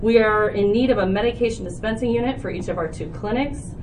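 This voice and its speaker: A woman presents a public health budget to a county committee, walking through the figures item by item with frequent fillers. She sounds set back from the microphone, and a steady noise runs under her voice in the meeting room. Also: medium pitch, fast talker. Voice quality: loud voice. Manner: explanatory, organized, with frequent "so" and "uh". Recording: distant, noisy room recording.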